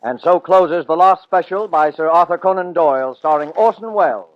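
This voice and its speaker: A man's voice: fairly high pitch, normal speed, dull audio, close to an everyday voice. A man performing in the manner of 1940s radio drama, on an old broadcast recording. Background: music.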